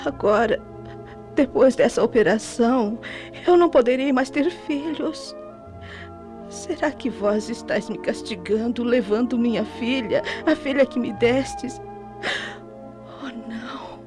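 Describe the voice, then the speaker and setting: A woman's voice: fairly high pitch, over music, close to an everyday voice. A woman playing a devout, emotional young mother praying for her baby daughter in a melodramatic radio drama. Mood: anguished, pleading. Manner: pleading, devout, drawn-out delivery.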